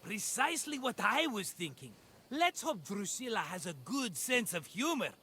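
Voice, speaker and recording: An actor playing a flamboyant, scheming middle-aged merchant, a cheerful smooth-talker with a theatrical, wheedling manner in a video game cutscene. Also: male voice, sits high, big pitch swings, normal speed, sharp tone, a little hiss or hum, exaggerated voice.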